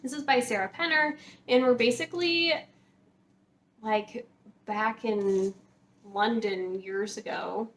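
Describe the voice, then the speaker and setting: A woman's voice: on the high side, slow, long pauses, a bit bright. A woman talking casually to viewers as a book vlogger, gushing and enthusiastic, often dropping "like" into her sentences. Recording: clear background noise, room ambience.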